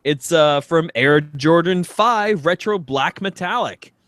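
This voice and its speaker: Man, podcast co-host, animated pitch, dry close sound, casual comedic chat, rambling amused delivery. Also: slow, no room sound. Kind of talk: casual comedic chat with mock-dramatic readings.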